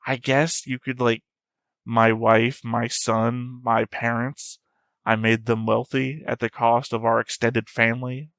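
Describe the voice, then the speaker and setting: A man's voice: mid-range voice, little pitch movement, measured pace, fairly bright tone, dry recording, studio quality. A man hosting a reaction video, talking casually and rambling as he thinks aloud. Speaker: host of an anime reaction channel.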